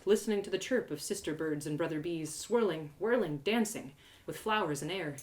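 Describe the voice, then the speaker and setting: A woman reading a first-person fantasy story aloud in an expressive, theatrical and lyrical way. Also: lowish voice.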